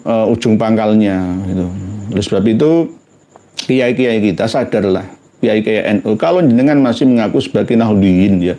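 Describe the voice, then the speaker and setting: A man from Indonesia with a Javanese accent giving an informal religious talk in a halting, conversational way. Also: on the low side, mellow. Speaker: religious commentator.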